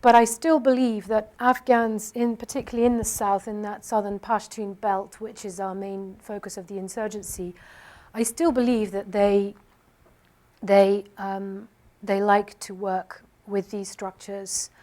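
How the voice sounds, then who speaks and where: A woman's voice: medium pitch, level tone, a little slow, a little mellow. A woman speaking on a panel discussion, answering a question with many hesitations and restarts.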